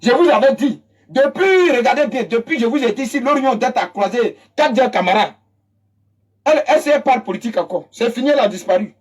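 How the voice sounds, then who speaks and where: An Ivorian-accented commentator delivers a fiery political monologue with a husky, breathy edge. The talk is emphatic and scornful, circling back to the same phrases to drive each point home. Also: medium pitch, expressive, a little fast. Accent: Ivorian French. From Côte d'Ivoire. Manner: emphatic and mocking, repeats phrases for effect.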